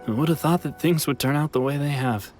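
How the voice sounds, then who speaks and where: A man's voice: on the high side, fairly quick, over music, close to an everyday voice. Man playing a troubled, lightish-voiced game townsman. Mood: wistful. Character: troubled, resigned man in need of help. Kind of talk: video game character acting.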